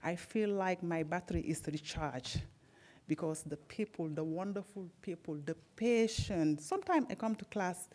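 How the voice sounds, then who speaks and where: A woman's voice: fairly low pitch, expressive, moderate pace, darkish tone. A woman from Senegal, whose first language is Wolof, speaking in simple non-native English as the student speaker at a graduation, heartfelt and a little nervous. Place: event hall at a library graduation.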